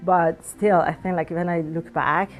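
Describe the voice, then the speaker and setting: A woman's voice: lowish voice, steady tone, measured pace, faint music behind, poor audio. A woman in her thirties whose first language is Farsi, answering interview questions earnestly and sometimes searching for words. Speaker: alumna guest.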